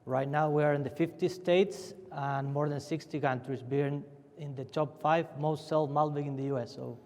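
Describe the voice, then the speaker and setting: A man's voice: fairly high pitch, fairly even intonation, moderate pace, darkish tone. A young man from Chile with a Chilean Spanish accent, speaking as a winery panelist in a loose, chatty way full of fillers and restarts. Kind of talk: conference fireside chat. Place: large conference hall. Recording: long hall reverb.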